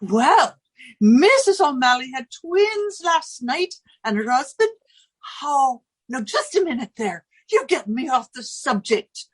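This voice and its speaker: Shrill-high chatty Irish landlady. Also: sing-song, unhurried, breathy edge, heavily acted, low-quality recording. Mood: gossipy. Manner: garrulous, folksy. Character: chatty, easily sidetracked Irish landlady, demanding but soft-hearted. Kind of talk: 1940s radio comedy acting.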